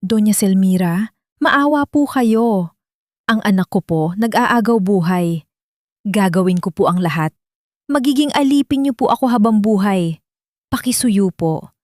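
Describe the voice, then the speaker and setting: Audio drama role: desperate, imploring mother played by a woman, humble, begging slowly, dry close sound. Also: mid-range voice, lively intonation, darkish tone, no echo, lightly acted. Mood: desperate pleading. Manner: humble, imploring, drawn-out pleas. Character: desperate, pleading poor mother begging for help.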